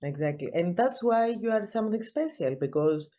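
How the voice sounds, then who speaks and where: A woman hosting a podcast interview over a video call, speaking simply and warmly with non-native phrasing. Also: mid-range voice, expressive, normal speed. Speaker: podcast host.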